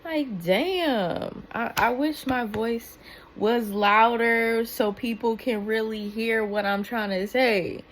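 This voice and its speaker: A soft-spoken woman, giving earnest casual commentary that rambles with restarts and fillers. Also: on the high side, slow, slight background noise. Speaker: commentary vlogger.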